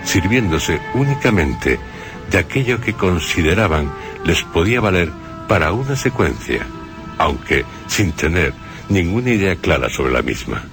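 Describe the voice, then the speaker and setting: A man's voice: sits low, big pitch swings, measured pace, music in the background. A man giving scripted film commentary on the radio, with personal asides. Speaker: radio commentator on horror films.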